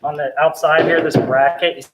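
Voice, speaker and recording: A man's voice: a little high, a little fast. A young man from South Carolina, casually explaining a computer build as he works in a hot studio. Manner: relaxed, chatty, explains as he works. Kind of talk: casual hands-on demo chat. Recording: noisy studio recording.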